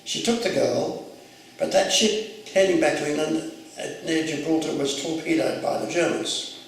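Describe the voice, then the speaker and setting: A man in his eighties, a retired Anglican clergyman whose first language was Arabic, telling his life story in a measured, reflective way. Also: fairly high pitch, creaky, slight background noise. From Jerusalem, Mandatory Palestine. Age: 80s. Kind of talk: oral history recollection. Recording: echoey room, distant mic.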